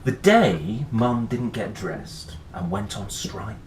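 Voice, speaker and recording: Male children's storyteller, lowish pitch, highly expressive with chatty asides; roomy sound with faint noise. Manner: playful, expressive, dramatic pauses and gasps. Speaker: host reading picture books aloud.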